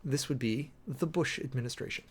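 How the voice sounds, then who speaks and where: An American man reading official documents aloud dramatically and commenting closely on them, with emphatic, deliberate, theatrical phrasing. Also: medium pitch, husky. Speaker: streamer, preacher host.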